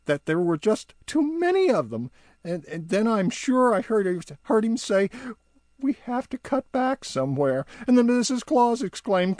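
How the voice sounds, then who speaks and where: A man voicing an excitable young male elf with a high giggly voice who stammers and repeats words. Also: no room sound, studio quality.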